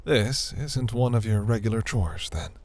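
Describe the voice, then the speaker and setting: A man voicing a seductive, imperious demon prince in a fantasy audio roleplay, velvety, teasing and grandiose, with a breathy, gravelly murmur. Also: fairly low pitch, expressive, unhurried, a little mellow. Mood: intrigued.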